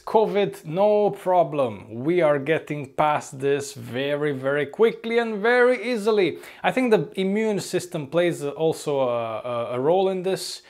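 Upbeat young man, slightly hoarse. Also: on the high side, a little mellow. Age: young adult. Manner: chatty, upbeat, often says "ladies and gents". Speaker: vlogger, flight crew member. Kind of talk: casual vlog to camera. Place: apartment room, indoors. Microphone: lapel mic.

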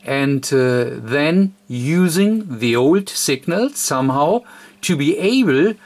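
A man giving an unscripted technical walkthrough as an electronics presenter, explaining in a hesitant, halting way. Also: on the high side, expressive.

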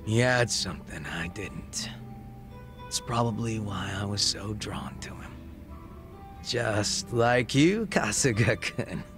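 A man voicing a laid-back, wistful gang leader in his thirties in a video game, reflective, unhurried and casually profane, with a gravelly voice. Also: fairly low pitch, animated, music in the background. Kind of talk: video game cutscene dialogue acting.

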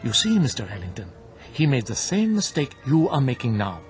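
An actor playing a grave old monk in a radio drama, speaking with solemn, deliberate, preacherly certainty. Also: male voice, mid-range voice, sing-song, close to an everyday voice. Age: older. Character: grave, zealous old monk, unshakably certain of his faith. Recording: music bed behind the voice.

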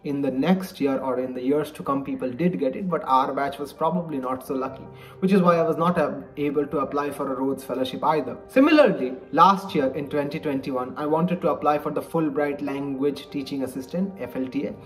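A man's voice: fairly high pitch, a little fast, soft background music, low-quality recording. A young man in his twenties from India, a native Bengali speaker with an Indian English accent, earnestly explaining in a personal advice vlog from a study at home. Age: 20s. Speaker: vlogger, college lecturer. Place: home study lined with bookshelves, indoors. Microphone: webcam-style framing, head and shoulders.

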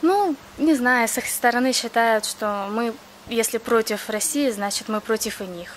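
A woman's voice: a little high, normal speed, slight background noise, low-quality recording. A young woman from Crimea, earnestly answering interview questions in plain speech with frequent hesitations. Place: outdoors near a café.

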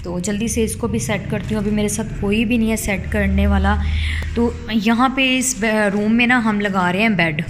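A woman's voice: medium pitch, steady tone, normal speed, background noise. Chatty woman filming at home. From Pakistan. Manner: chatty, rambling, filler-heavy. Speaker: home vlogger. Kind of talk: casual home review vlog. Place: rooms of a home, indoors. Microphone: phone camera held by the speaker, off-screen.

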